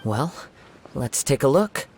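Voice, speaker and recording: A man playing a calm, perceptive young wandering swordsman in a video game, speaking in measured, formal, thoughtful phrases. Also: mid-range voice, faint music behind. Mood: calm, contemplative. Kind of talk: video game cutscene voice acting.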